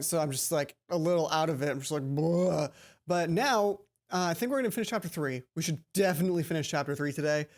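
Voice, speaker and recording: A let's play host with a rambling, playful, self-interrupting way of talking, reading game text aloud and commenting on it. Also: medium pitch. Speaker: gaming video host. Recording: game music under the voice. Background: video game music.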